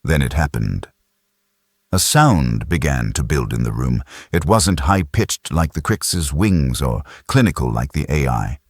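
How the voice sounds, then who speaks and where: A man narrates a sci-fi audiobook in a very deep, husky voice. His delivery is dramatic, with animated pitch movement. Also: a little slow, dry recording, clean studio sound.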